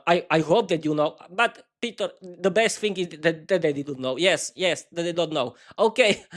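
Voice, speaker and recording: Excitable Slovak man hosting a podcast. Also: sits high. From East Slovakia. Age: 30s. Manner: enthusiastic, halting, repeats words and cheers. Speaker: podcast host. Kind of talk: improvised solo podcast monologue.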